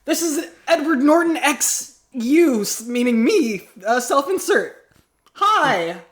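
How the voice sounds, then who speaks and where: A man's voice: very high voice, sing-song, slow pace, a bit bright, pitched above the performer's own voice, exaggerated voice. A man voicing an eager, smitten self-insert fan fiction hero in an improvised comedy radio play, overblown and melodramatic.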